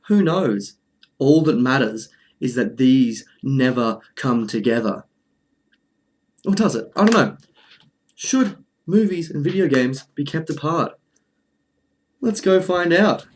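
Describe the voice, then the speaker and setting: A man presents a casual video commentary in a mid-pitched voice. His delivery is dry and jokey, and he often interrupts himself as he talks. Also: lively intonation, slow, long pauses, slight background noise. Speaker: video host.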